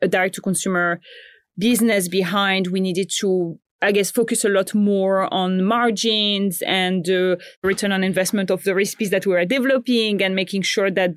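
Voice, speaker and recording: A woman's voice: mid-range voice, dry recording. Woman, 30s, raised in Morocco, easy mid-range voice, reflective and self-correcting, close and dry. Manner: thoughtful, frequent restarts and fillers. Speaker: founder and guest. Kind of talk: casual interview chat.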